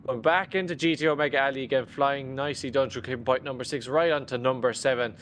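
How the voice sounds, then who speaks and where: A man's voice: mid-range voice, a little hiss or hum. A Scottish man co-commentating a virtual drift event, calling runs energetically. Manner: energetic play-by-play, quick laughs. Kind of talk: live esports play-by-play.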